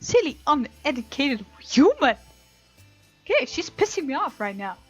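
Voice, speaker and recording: A woman hosting a let's play, reading game text aloud dramatically and reacting with animated sass. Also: high voice, moderate pace. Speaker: gaming channel host. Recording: slightly dull, lo-fi, game music behind. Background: soft game music.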